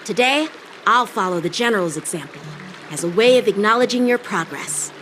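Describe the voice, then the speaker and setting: A woman's voice: medium pitch, lively intonation, brisk, fairly bright tone, soft background music, close to an everyday voice, rough recording. A woman playing a polite, earnest teenage boy swordsman and teacher in an anime game, courteous and encouraging. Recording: clear ambient noise behind.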